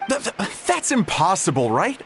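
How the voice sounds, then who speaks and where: A man's voice: high voice, huge pitch swings, moderate pace, fairly bright tone, slight background noise, exaggerated voice. A man voicing an earnest, incredulous young man in a video game, questioning and exclamatory. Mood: flustered disbelief.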